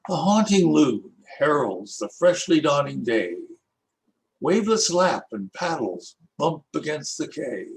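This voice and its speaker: Older man slowly reciting his poems. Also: medium pitch, rough recording. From Canada. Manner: lilting, expressive recitation with rhythmic rhyme. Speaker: poet reading his own work. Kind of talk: slow, measured poetry reading.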